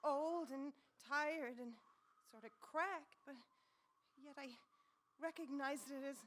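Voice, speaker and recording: Haunted young wife for vintage radio horror, played by a woman: high, fragile, hesitant. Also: slow, fairly bright tone. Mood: haunted, anxious. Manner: hesitant, breaking up her phrases dramatically. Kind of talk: 1940s radio drama acting.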